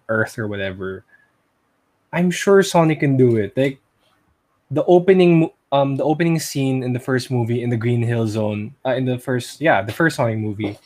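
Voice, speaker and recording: A man's voice: medium pitch, darkish tone. A man talking informally as a podcast co-host. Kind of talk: casual chat about movies.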